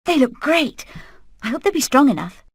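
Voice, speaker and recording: A woman's voice: sits high, very animated, moderate pace, no echo, studio quality. A woman voicing a determined hen leader in a video game, earnest and purposeful. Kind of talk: animated video game acting.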